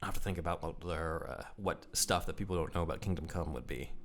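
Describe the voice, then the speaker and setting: A man's voice: sits low, fast talker, a little hiss or hum. A man hosting a podcast, explaining things casually and giving his opinions in a conversational way. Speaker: podcast host.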